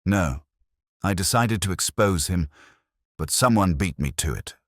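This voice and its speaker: Guy voicing a bitter, controlled older lady, low husky voice, dry sound. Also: expressive, measured pace, fairly bright tone, dry recording. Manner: cool, controlled, cutting. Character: composed, bitter middle-aged woman, a councillor's wife.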